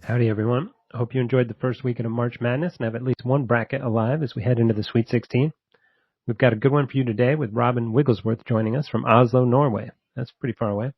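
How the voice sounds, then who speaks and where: A man's voice: mid-range voice, steady tone, quick pace, breathy edge, no room sound, lo-fi sound. A man hosting a podcast in a casual, conversational style. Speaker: podcast host. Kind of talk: casual podcast interview and intro.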